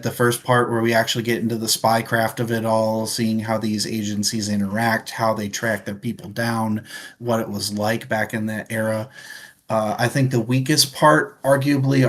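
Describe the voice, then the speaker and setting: A man's voice: medium pitch, a bit bright. A man co-hosting a book club podcast, talking casually and conversationally as he sums up his thoughts.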